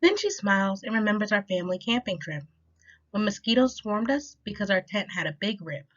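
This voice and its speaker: Female storytime reader, expressive rhyming picture-book delivery, animated intonation, slightly muffled low-fidelity recording. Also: brisk. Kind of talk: children's picture book read-aloud. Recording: dull lo-fi sound.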